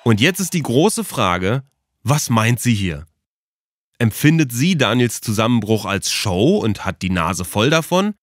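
A man's voice: mid-range voice, very animated, measured pace, dry recording. A man reading a scripted documentary narration for a podcast.

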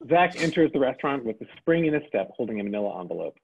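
A man's voice: mid-range voice, sing-song, vocal fry, slight background noise. Man reading stage directions, tinny sound. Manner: plain, brisk reading of scene directions.

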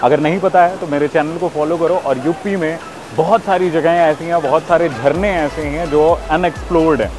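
A man's voice: fairly high pitch, steady tone, fairly quick. A man, talking casually and enthusiastically to his viewers as a travel vlogger, urging them on. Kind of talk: casual vlog talk to viewers. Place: outdoors, on the road and by a waterfall.

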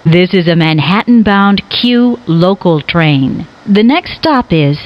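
A woman giving recorded train announcements in a measured, formal way. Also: lowish voice, lively intonation. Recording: dull, noisy recorded transit announcement.

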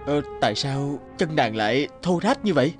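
A man voicing a devoted, courteous young prince in an animated fairy tale, speaking in a tender, courtly way. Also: fairly high pitch, moderate pace, close to an everyday voice. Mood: attentive. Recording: music behind the voice.

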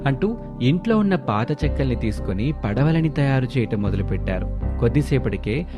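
A man's voice: mid-range voice, sing-song, brisk. A man narrating a scripted moral story as a storyteller. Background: background music.

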